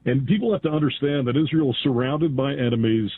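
Earnest man calling in by phone. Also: mid-range voice. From United States. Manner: earnest, halting, repeats words. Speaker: caller. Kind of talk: phone-in call. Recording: phone line.

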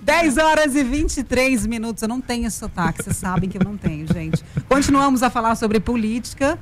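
A woman's voice: a little high, lively intonation, fairly quick, a little hiss or hum. Playful woman radio host from Minas Gerais. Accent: Araxá, Minas Gerais. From Minas Gerais, Brazil. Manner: playful, teasing, chatty. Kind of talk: casual talk radio chat.

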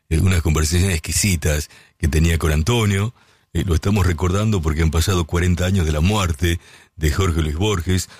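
A man hosts a radio program, speaking in a very low, husky voice. He talks steadily, dotting his sentences with hesitation sounds. The sound is dry and close to the mic. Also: no room sound. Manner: warm tribute, many filler sounds. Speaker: radio host. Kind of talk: radio presentation.

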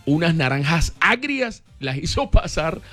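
Play a bantering male radio host with a slightly high voice, chatty and playful. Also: sing-song, measured pace, sharp tone, faint music behind. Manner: joking, prompting listeners. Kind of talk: casual radio chat.

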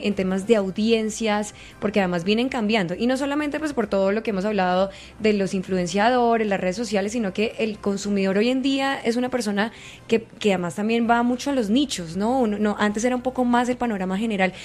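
A woman interviewer posing long, steady questions. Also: mid-range voice, brisk.

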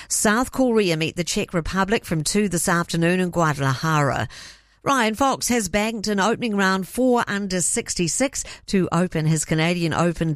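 A woman with a New Zealand accent reading a radio news bulletin in a measured, even way. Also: lowish voice, dry recording, studio quality. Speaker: newsreader.

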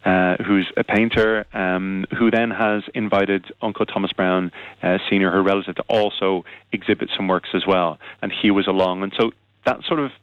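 A man from Ireland with an Irish accent, a guest on a radio interview, talking casually and hesitantly with many pauses and fillers. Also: low-pitched, steady tone, smooth, dry recording, highs cut off. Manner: hesitant, many ums, thoughtful. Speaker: arts centre marketing manager, guest.

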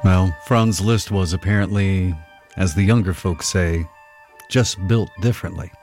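A man's voice: low-pitched, fairly even intonation, measured pace, over music. A man hosting classical radio, giving a wry, conversational scripted introduction to a piece.

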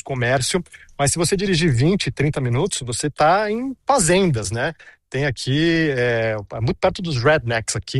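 A man's voice: medium pitch, no echo, studio quality. A man in his thirties giving a chatty on-location report, telling stories with many asides. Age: 30s.

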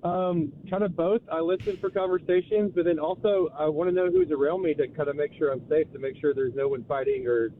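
A man's voice: a little high, fairly even intonation, brisk, few pauses, a little hiss or hum. Male caller on tinny phone line. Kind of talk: phone-in call.

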